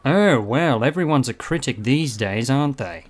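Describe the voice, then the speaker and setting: A man's voice: medium pitch, lively intonation, moderate pace, lightly acted. A man playing a chatty, eccentric, cheerfully fussy time-traveller in an audio drama. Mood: playfully defensive. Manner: chatty, jaunty.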